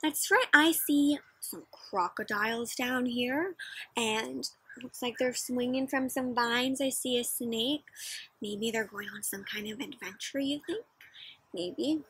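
Young lady doing kids storytime, expressive, bright voice, little bit raspy, pretty dry sound. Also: on the high side, measured pace, no room sound, rough recording. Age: young adult. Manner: expressive, questioning, emphatic. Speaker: children's storybook reader. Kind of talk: interactive read-aloud for children. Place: outdoors in a playground.

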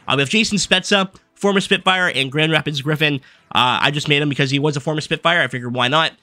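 A young man giving a casual, rambling rundown of his game team from his desk, his voice going hoarse as he is losing it. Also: fairly high pitch, bright, forceful tone, no room sound. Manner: fast, rambling card-by-card rundown with fillers. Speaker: gaming YouTuber. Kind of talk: casual gameplay commentary. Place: small room at a desk.

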